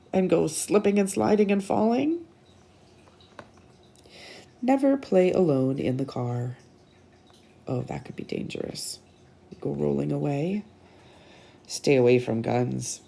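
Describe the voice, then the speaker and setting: A woman reading a picture book aloud to children as a storytime host, animated and teacherly, with dramatic delivery and little asides. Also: low voice, unhurried, creaky, long pauses.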